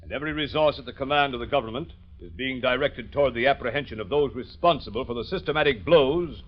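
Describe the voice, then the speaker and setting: A mid-pitched, authoritative admiral played by a man giving official speech. He is grave and measured, on a dull vintage radio recording. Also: natural voice. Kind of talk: 1930s radio drama acting.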